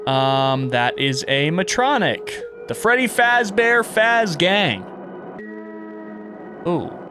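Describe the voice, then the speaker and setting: A man doing casual video game playthrough commentary, reading prompts aloud and reacting as he thinks out loud. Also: high voice, sing-song, slow pace, music in the background. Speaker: gaming content creator and streamer.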